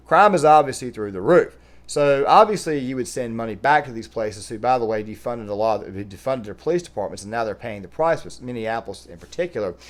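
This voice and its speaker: A man with an American accent giving opinionated, emphatic commentary as a vlogger speaking to his viewers. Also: fairly low pitch.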